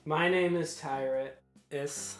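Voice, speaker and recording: A man's voice: on the high side, steady tone, very slow. A man in his twenties co-hosting a podcast with goofy, slangy, casual chat. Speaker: podcast co-host. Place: bedroom, indoors. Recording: echoey room with music behind.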